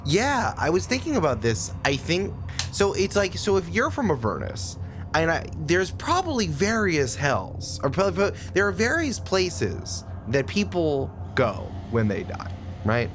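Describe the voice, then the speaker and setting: A man in casual, improvised chat as a podcast guest, riffing in a rambling, animated way. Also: a little high, a little slow, noisy background.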